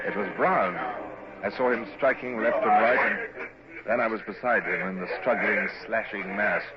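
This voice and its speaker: Man acting low-voiced Royal Navy captain, British accent, measured, authoritative, old radio drama, dull muffled sound. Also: very noisy, lightly acted. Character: male Royal Navy captain narrating his battles, cool, commanding. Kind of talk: vintage radio drama acting, first-person narration and orders.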